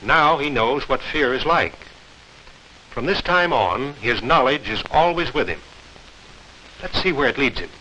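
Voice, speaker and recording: A man's voice: medium pitch, lively intonation, measured pace, long pauses, pushed and bright. A man narrating a 1940s training film as a medical officer, explaining in a didactic, scripted way. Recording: noisy, crackly 1940s film soundtrack.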